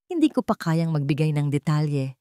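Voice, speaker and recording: A remorseful man voiced by a woman narrator confesses solemnly in a romance story. The voice is mellow, slightly low and dry, with halting, pleading delivery. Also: sing-song, no room sound. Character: remorseful male ex-lover pleading in a televised interview.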